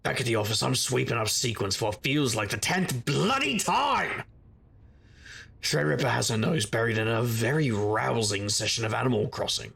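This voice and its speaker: An actor playing a wry, world-weary supernatural private detective, narrating a noir audio drama in a gravelly, husky, deadpan voice. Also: male voice, medium pitch, normal speed, slight background noise. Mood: dry, weary.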